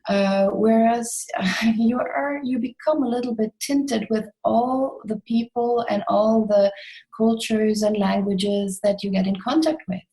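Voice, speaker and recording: A woman's voice: on the high side, a little slow, mellow, poor audio. A middle-aged woman answering as an interview guest, reflective and conversational, expressively voicing imagined quotes to illustrate her points. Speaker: interview guest, expat coach.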